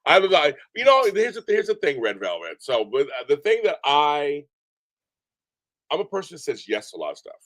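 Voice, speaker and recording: A deep-voiced man chatting as a podcast guest, animated and rambling, often repeating himself. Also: moderate pace, dry recording. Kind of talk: casual interview chat. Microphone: remote call from home.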